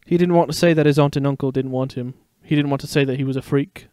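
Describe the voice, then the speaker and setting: A man's voice: medium pitch, fairly even intonation, fast talker, darkish tone, no echo, studio quality. A man giving a dramatic narration of a story as its narrator.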